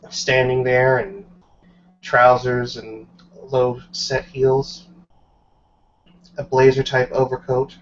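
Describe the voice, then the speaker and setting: A man narrating a tabletop roleplay session as game master, dramatic and deliberate, with storyteller pauses. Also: mid-range voice. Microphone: online group voice call. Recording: muffled online call with background noise.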